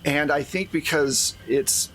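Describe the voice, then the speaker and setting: A man's voice: on the high side, slow, a bit bright, slight background noise, rough recording. A man running a tabletop roleplaying game as game master, narrating and explaining in a conversational way.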